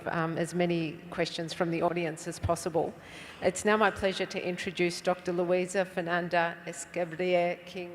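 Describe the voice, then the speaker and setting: A woman's voice: on the low side, a little hiss or hum. A woman moderating a conference panel, introducing the next speaker politely and with small fillers. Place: conference hall.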